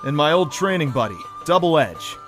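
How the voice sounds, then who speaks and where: A man's voice: fairly high pitch, sing-song, normal speed, a bit bright, faint music behind. A man acting a friendly, modest young pony prince in an audio drama, with an easygoing, earnest manner.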